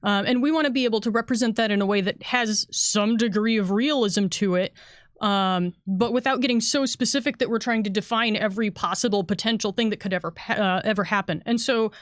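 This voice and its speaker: A woman's voice: mid-range voice, brisk, no echo, clean studio sound. A woman talking casually as a game designer on a panel, explaining things in an informal, conversational way.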